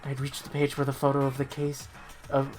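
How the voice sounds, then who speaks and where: Low-pitched woman reading a game aloud. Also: brisk. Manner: expressive, stumbling over words. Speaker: reader of the protagonist's lines in a group playthrough. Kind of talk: amateur dramatic read-aloud of a visual novel game. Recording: music playing behind the voice. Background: game music.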